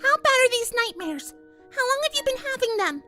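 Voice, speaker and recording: Casting: excitable female cartoon pony, extremely high bright voice, dramatic, pushy delivery. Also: brisk, exaggerated voice. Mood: shocked. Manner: dramatic, insistent. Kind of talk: cartoon fan fiction character reading. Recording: music under the voice.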